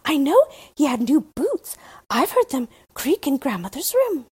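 A woman's voice: high-pitched, big pitch swings, measured pace, a little mellow, pitched above the performer's own voice. A woman voicing an earnest, devoted little girl in a storybook reading. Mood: eager. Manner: eager and pleading.

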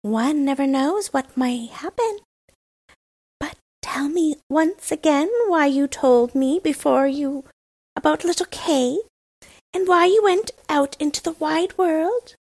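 A woman's voice: high-pitched, slow, darkish tone, pitched above the performer's own voice. A woman reading a storybook, voicing a fierce, blunt young robber girl who talks in a bossy way.

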